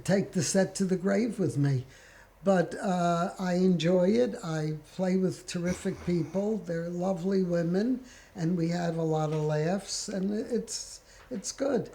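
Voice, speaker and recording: Slow, creaky-voiced older New woman. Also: on the low side, mellow. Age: older, 70s or above. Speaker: interviewee, longtime mahjong player. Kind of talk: casual reminiscing.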